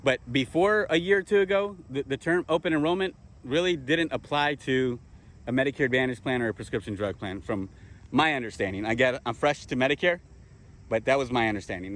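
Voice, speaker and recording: A man's voice: mid-range voice, a bit bright. A man teaching fellow insurance agents in a casual spoken lesson, repeating key terms and checking in with "okay?" as he self-corrects and restarts. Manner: repeats himself, self-corrects, rhetorical questions.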